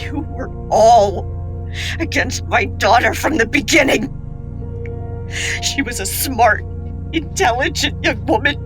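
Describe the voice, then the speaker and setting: A woman's voice: fairly high pitch, slow pace, long pauses, music in the background. A woman playing a grief-stricken, defiant Victorian mother in an audio drama, with an anguished, theatrical delivery. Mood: bitter, tearful fury.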